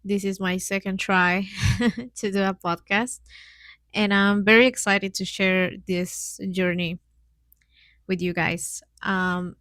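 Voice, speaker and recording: Casting: woman, mid 20s, Mexican accent, native Spanish speaker. Informal podcast host, hesitant and giggly phrasing, medium pitch, dry sound. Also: flat delivery, takes it slowly, a bit bright, no echo. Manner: hesitant learner's English with frequent little giggles. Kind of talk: casual solo podcast talk.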